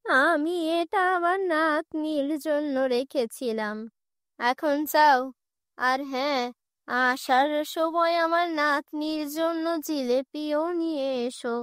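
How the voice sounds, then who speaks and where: A woman voicing a frail, doting, protective old grandmother with slow, drawn-out speech in a cartoon. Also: sits high, dry recording, studio quality. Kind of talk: cartoon fairy-tale dialogue.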